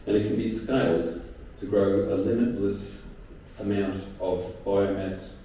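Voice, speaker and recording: A man giving a short pitch slowly and deliberately, halting now and then with fillers. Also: little pitch movement, warm tone. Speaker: startup founder pitching. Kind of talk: startup pitch. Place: event venue. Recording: echoey room, from far off, faint noise.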